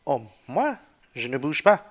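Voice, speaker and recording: A proud, stubborn male traveller played by a man with a swooping, animated pitch. He declaims his principles defiantly, in amateur radio play style. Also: unhurried.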